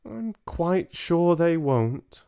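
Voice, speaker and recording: Man putting on a gentle, slow-speaking old grandmother. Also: a little high, sing-song, gravelly, clean tone, voice pushed up, heavily acted. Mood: grave, assured. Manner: slow, tender, deliberate. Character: gentle, wise old Norwegian grandmother, calm and loving.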